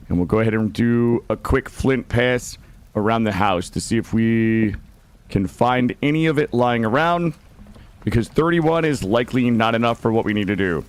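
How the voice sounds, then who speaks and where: A male game streamer with a mid-range voice that is slightly gravelly and not fully warmed up. He talks informally, mixing commentary on what he is doing with friendly chat to viewers. His delivery is playful, with mild swearing. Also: medium pitch. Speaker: game livestreamer. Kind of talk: casual gaming livestream commentary and chat.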